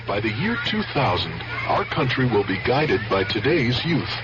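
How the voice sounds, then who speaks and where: A man's voice: mid-range voice, big pitch swings, normal speed. A man reading an earnest scripted public service announcement for 1970s radio. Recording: muffled 1970s radio broadcast. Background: loud music.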